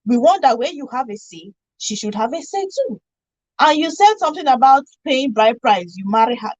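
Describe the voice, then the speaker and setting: A woman's voice: high voice, animated, moderate pace, a little mellow, no echo, dull audio. A woman with a Nigerian accent arguing insistently as a guest on a radio discussion, repeating herself for emphasis. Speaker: panel guest.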